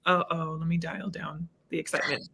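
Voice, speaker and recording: A woman from Los Angeles talks casually as a podcast guest. Her voice sits low, and she is bubbly and self-mocking, with quick laughs between her thoughts. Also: flat delivery, creaky, bright, forceful tone, husky. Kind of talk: casual chat.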